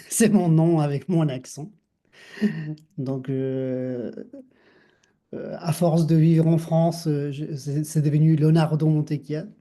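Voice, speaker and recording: A middle-aged man from Argentina with an Argentine accent, speaking thoughtfully as an interview guest, with many restarts and soft laughs. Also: fairly high pitch. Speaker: guest, dancer and actor. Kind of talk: reflective interview conversation.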